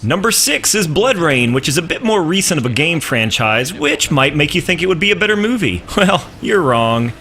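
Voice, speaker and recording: A man's voice: a little high, pushed and bright. A man hosting a countdown video, reading a scripted narration in a wry, sarcastic way. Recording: music under the voice. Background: music.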